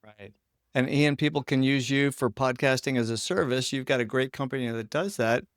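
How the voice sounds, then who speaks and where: A man interviews a guest in a conversational podcast style. His mid-pitched voice has plenty of creak and fry, and it sounds close and dry. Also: moderate pace, no echo.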